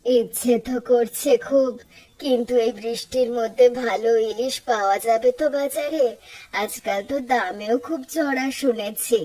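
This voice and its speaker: A woman's voice: fairly high pitch, normal speed, mellow, far from the mic, natural voice, poor audio. A woman playing a caring, nostalgic middle-aged village mother in a Bengali cartoon story, with a wistful, homely way of talking. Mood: hesitant, caring.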